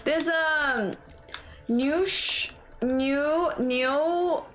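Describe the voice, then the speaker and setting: A woman's voice: high-pitched, very slow, fairly bright tone, poor audio. A woman chatting casually on a stream while playing, playful, slangy and self-deprecating. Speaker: live streamer.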